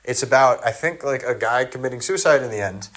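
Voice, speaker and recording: A man's voice: mid-range voice, brisk, fairly bright tone. A native English-speaking man in a casual solo monologue, thinking aloud with many fillers and restarts. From English-speaking country. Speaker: video essayist.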